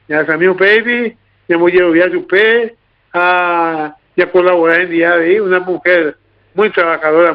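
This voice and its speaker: A man with a Paraguayan accent hosting an informal radio talk show, repeating himself as he goes. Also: high voice. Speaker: radio host. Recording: narrow, tinny radio broadcast sound.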